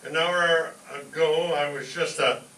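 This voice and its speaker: A man playing a blustering, excitable working-class husband and father in an old-time radio comedy, loud and exclamatory. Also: a little high, a little slow. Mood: excited, boastful. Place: live stage show in a roomy hall. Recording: echoey room, distant mic, noisy.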